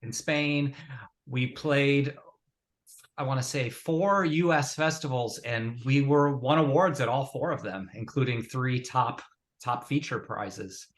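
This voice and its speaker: A man's voice: medium pitch, takes it slowly. A man, a film director, answering interview questions in a plain, matter-of-fact way.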